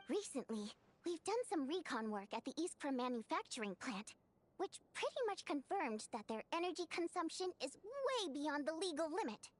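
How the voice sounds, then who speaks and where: A spirited young stage performer from a video game. Her voice is high and swings widely through its melody, theatrical and expressive. She has an indignant streak and the conviction of an activist. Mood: confiding, businesslike. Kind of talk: scripted game voice acting.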